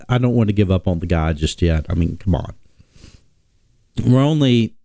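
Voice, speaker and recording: A man hosting a casual sports talk stream, sick and nasal, rambling with many pauses and restarts. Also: lowish voice, warm tone, no room sound, clean studio sound. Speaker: podcast host.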